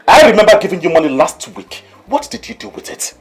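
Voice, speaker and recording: Indignant father, wildly varying pitch. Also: sing-song, fairly quick, reverberant, faint music behind. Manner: accusing, emphatic. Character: indignant, protective father confronting his selfish partner. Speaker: actor. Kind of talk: film drama acting.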